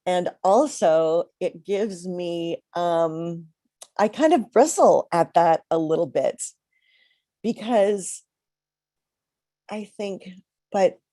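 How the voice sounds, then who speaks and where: A middle-aged woman minister giving a reflective, conversational sermon with pauses, a little congested from a cold. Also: lowish voice, warm tone, no room sound, studio quality.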